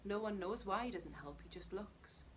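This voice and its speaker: A woman's voice: medium pitch, animated, moderate pace, slight background noise, natural voice. A woman reading as a numb, weary middle-aged husband and father, laconic and guarded, in a storybook narration. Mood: uneasy.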